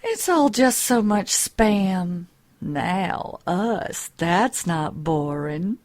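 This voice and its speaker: A woman's voice: medium pitch, animated, very slow, darkish tone. An actress playing a brazenly flirtatious politician's wife in a comedy audio drama, coy and teasing as she pursues a man.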